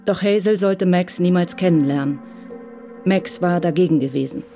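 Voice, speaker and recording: A narrator reading a radio crime drama in a measured, even way. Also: mid-range voice. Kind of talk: scripted narration. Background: music.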